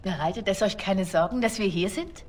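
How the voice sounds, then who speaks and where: A woman's voice: medium pitch, brisk, a little mellow, natural voice. An actress voicing a young female spy in a video game, earnest and questioning, loyal but worried. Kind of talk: dramatic video game dialogue.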